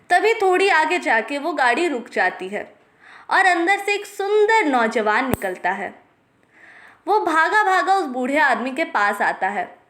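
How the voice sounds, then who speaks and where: Lady reading kids bedtime story, high voice, slow pace, scripted narration. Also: fairly bright tone.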